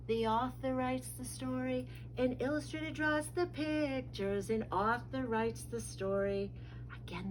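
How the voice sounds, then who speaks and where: A woman's voice: mid-range voice, background noise. A woman preschool teacher leading a story time for young children, speaking and singing in simple, repetitive, sing-song phrases with an encouraging, instructive manner.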